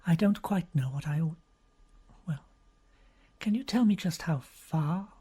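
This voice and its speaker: A woman voicing a distraught young noblewoman with a breathless, pleading, high voice. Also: lively intonation, unhurried, mellow, slightly rough, exaggerated voice. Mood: composed.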